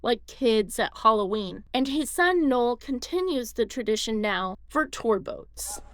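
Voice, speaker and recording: A woman's voice: a little high, lively intonation, a little slow, a little hiss or hum. A woman reading scripted narration for a documentary.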